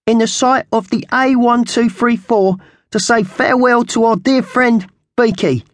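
A man's voice: very high voice, unhurried, no room sound, pitched above the performer's own voice. A man voicing a scrawny magpie henchman in a children's storybook, a squawky and mock-solemn gang underling. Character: thin scrawny male magpie henchman, nasty yet sentimental.

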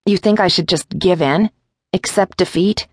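Woman playing a low-voiced, defiant captive heroine. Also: moderate pace, no room sound, clean studio sound, lightly acted. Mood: bitter, frustrated. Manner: wry, blunt. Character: defiant, sardonic enslaved woman fighter of fantasy fiction.

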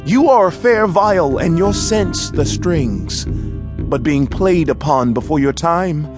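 An actor playing a bold, eloquent young prince in a Shakespearean audio drama, speaking in an earnest, declamatory way. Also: male voice, a little high, expressive, takes it slowly, music in the background. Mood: disillusioned.

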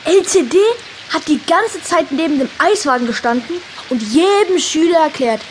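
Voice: high voice, animated, moderate pace, slight background noise. A performer playing an indignant, gossipy schoolchild in a children's audio drama, emphatic and scornful.

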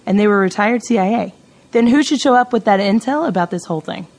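Brash, self-assured female amateur sleuth for audio mystery, actress wisecracking and blunt, slightly rough-edged voice. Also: mid-range voice, lively intonation, quick pace, darkish tone. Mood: confident, explaining. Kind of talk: audio drama dialogue.

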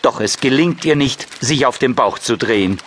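Male children's story narrator, medium pitch. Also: expressive, normal speed, a bit bright, a little hiss or hum. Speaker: audiobook narrator.

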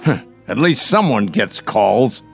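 Bitter old rest-home grouch, gravelly, slow, muttering complaints, radio drama. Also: male voice, lowish voice, sing-song, soft background music, exaggerated voice. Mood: irritable. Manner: grumbling, muttering, repeats himself.

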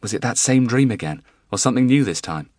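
A man putting on a soft-voiced, caring young wife. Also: mid-range voice, fast, no echo, clean studio sound, voice pushed up, lightly acted. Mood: gentle, concerned. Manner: soft and steady, softly whispered. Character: gentle, worried young wife.

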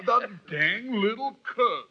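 An actor playing a rough, soft-hearted miner in an old radio drama, husky, with a halting rustic drawl. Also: male voice, very high voice, animated, heavily acted. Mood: delighted. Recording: dull vintage radio recording.

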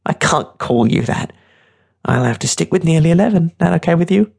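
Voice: mid-range voice, lively intonation, fairly quick, gravelly, a little mellow, slightly rough, pitched above the performer's own voice. A narrator voicing a tough, scornful, streetwise teenage girl with a brash, mocking, bossy way of talking. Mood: stern, impatient.

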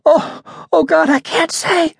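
A man's voice: very high voice, normal speed, darkish tone, slight background noise, voice pushed up. A man voicing a terrified, drunk male ventriloquist who stammers and whimpers near tears.

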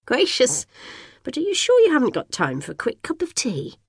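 A woman voicing a fussy, nosy elderly neighbour with a high, fluting voice. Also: wildly swooping pitch, moderate pace, breathy edge, dry recording, clean studio sound, exaggerated voice. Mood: insistent.

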